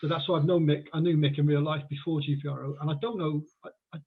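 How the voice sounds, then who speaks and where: Here is a man in his forties whose accent mixes Welsh and southeast English, chatting loosely on a call and rambling through his thoughts. His voice is husky and sits a little high, and it comes through a narrow, tinny phone-like line. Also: fairly even intonation, fast. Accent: mixed Welsh and southeast English. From Wales. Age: 40s. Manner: chatty, rambling with many fillers and restarts. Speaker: guest. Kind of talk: casual podcast conversation.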